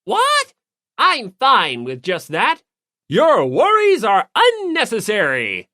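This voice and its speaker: A chubby, servile teenage boy, performed by a woman for an audiobook. He is pompous and excitable, speaking in a florid, forceful, squealing high voice. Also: slow pace, no room sound, studio quality, heavily acted. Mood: squealing, excited. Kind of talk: anime-style audiobook character acting.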